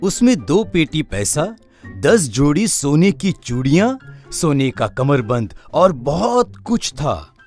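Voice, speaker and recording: A man playing a crafty, pompous fake swami who makes measured, dramatic pronouncements in an animated moral story. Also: a little high, soft background music, heavily acted. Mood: pompous, solemn. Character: sham holy man, crafty, pompous, greedy swindler.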